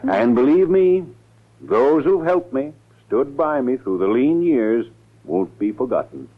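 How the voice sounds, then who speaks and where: An actor playing a smug, falsely modest politician who speechifies pompously in a 1940s radio drama. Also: male voice, mid-range voice, expressive, slow pace, long pauses. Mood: smug, gracious. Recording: tinny 1940s radio recording.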